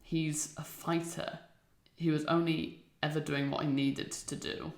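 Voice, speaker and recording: Creaky-voiced man in an echoey room. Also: fairly high pitch, level tone, measured pace. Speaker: amateur audio drama actor. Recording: echoey, roomy sound.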